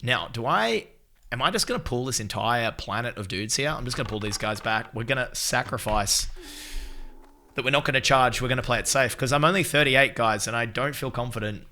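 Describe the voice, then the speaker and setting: Excitable man streaming a game. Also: medium pitch, fairly quick. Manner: excitable, swears, shouts out chat members. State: recovering from losing his voice. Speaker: live-streamer playing a game as tank. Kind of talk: casual gaming stream chat.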